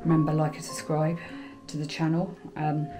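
Shaken woman ghost-hunting in the dark. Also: level tone, normal speed, music in the background. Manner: calls out to spirits in a raised, coaxing voice, then talks low and shaken to camera. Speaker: paranormal investigator. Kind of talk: ghost-hunting vlog narration. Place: dark bedroom of an abandoned farmhouse.

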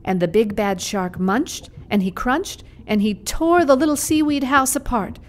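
A woman's voice: fairly high pitch, unhurried. Woman narrating a children's puppet show. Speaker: storyteller, puppeteer. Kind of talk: scripted children's story narration.

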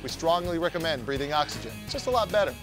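A man's voice: on the high side, expressive, rapid-fire, music in the background. A young man hosting a show with scripted comedic narration in a jokey, wry manner. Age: young adult. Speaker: host.

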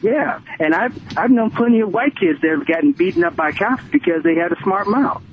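An older American man calls in on a thin, tinny phone line with slight noise. His voice sits somewhat high. He makes his case emphatically, circling back to repeat each point. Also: animated, a little fast. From Connecticut, United States. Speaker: radio phone-in caller. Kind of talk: opinionated call-in talk. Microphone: telephone.

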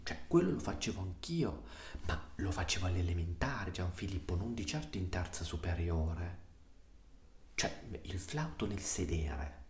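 A man in his twenties playing an exasperated father scolding his teenage son in a hushed ASMR roleplay, with a reproachful, repetitive manner. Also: lowish voice. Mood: embarrassed indignation. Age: 20s. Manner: hushed, reproachful, repetitive, sometimes dropping to a whisper. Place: small room at home. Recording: roomy indoor echo.

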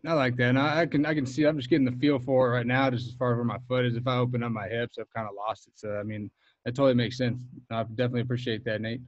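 A young man hosts a video call panel from outdoors, firing off casual questions at a brisk clip. His voice comes through dull and dry. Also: mid-range voice, level tone, no room sound. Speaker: panel moderator. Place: outdoor covered porch. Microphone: video call webcam.

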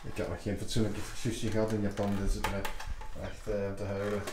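A young man exploring an abandoned hotel and chatting casually to camera, often dropping to a hush. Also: lowish voice, monotone, fairly quick. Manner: chatty, filler-heavy, drops to a hush. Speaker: urban explorer vlogger. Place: large empty abandoned hotel, indoors. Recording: echoey empty halls, background noise.

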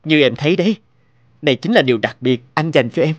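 A man voicing a devoted, romantic young boyfriend in an animated drama, speaking earnestly, tenderly and politely. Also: on the high side, fast, dry recording, lo-fi sound, lightly acted.

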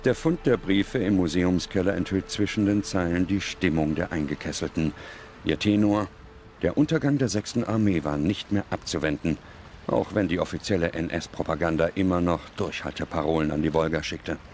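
A man delivering grave, measured scripted narration as a documentary narrator. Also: low voice, darkish tone, slight background noise.

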